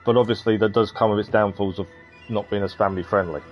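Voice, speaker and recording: A man's voice: fairly low pitch, fairly even intonation, fast talker. A man live streaming a game, talking casually with his chat in a conversational, explanatory way. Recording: slightly dull mic over game music.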